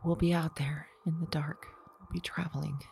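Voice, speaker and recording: A woman's voice: sits low, level tone, normal speed, vocal fry, a little mellow, a little hiss or hum. A woman playing a frightened woman who whispers, repeats herself and breaks off mid-sentence. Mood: hushed. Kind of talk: audio drama monologue.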